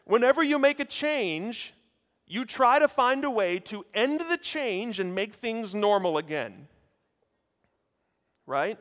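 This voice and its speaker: Loud, animated American preacher in his thirties. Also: high voice, slow pace. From United States. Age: 30s. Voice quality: loud, projecting voice. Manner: conversational, animated, addresses listeners directly. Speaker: preacher at a seminary chapel. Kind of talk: sermon. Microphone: handheld or lapel mic. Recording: hall reverb.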